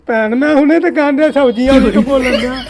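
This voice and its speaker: A man's voice: very high-pitched, a little fast, vocal fry, crowd noise. A man with a Punjabi accent making a prank phone call as a vegetable seller, pleading and insistent. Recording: phone call with faint noise.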